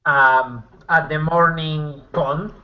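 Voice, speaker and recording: A man roleplaying casually, narrating his character in a halting, sardonic, sweary manner. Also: a little high, fairly even intonation, highs cut off. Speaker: tabletop roleplayer.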